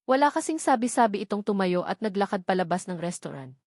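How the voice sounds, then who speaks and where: Filipino lady reading a novel out loud, first person, really dry recording. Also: medium pitch, lively intonation, quick pace, dry recording. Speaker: audiobook narrator. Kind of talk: scripted first-person story narration.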